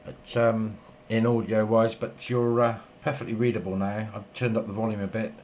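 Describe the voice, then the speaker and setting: A man's voice: on the low side, level tone, moderate pace, darkish tone, a little hiss or hum. A man chatting casually and in a rambling way as a radio operator filming in his home shack. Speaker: amateur radio operator and video maker.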